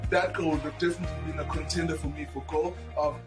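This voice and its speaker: A man's voice: on the high side, steady tone, quick pace, over music. A man giving an enthusiastic football pundit segment.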